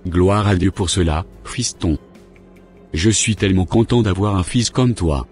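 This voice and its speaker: Low-voiced devout dad played by a man. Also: a little slow, a little mellow, faint music behind. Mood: earnest, fatherly. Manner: earnest, fatherly. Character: devout, encouraging father of a young son.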